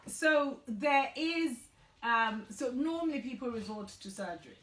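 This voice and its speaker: A woman's voice: fairly high pitch, animated, measured pace, a bit bright. A woman in her forties from Ghana, earnestly explaining health issues as a guest in a conversational interview. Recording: echoey room.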